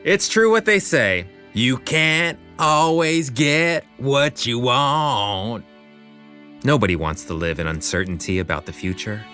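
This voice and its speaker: A male narrator of a romance serial, musing and teasing out suspense. His medium voice swings expressively over soft music. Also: medium pitch, expressive, slow pace. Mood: reflective, suspenseful. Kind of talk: dramatic scripted narration.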